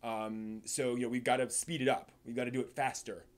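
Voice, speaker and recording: A man hosting a live stream, giving casual unscripted commentary in a halting, rambling way that repeats words and trails off. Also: mid-range voice, level tone. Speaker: live stream host and anime reviewer.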